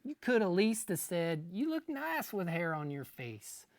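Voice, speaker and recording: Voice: medium pitch, very animated, a little slow, dry recording. A reader doing a touchy, scolding country man. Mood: indignant scolding. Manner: folksy, scolding.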